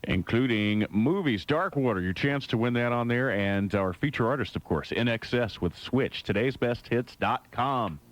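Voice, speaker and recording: Playful male radio DJ, swooping pitch. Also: lively intonation, normal speed, few pauses. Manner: upbeat, playful, joking. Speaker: radio DJ, weekend music show host. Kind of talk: hot adult contemporary radio DJ patter over music. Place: radio studio. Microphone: close broadcast studio mic. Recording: loud music bed behind the voice. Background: music.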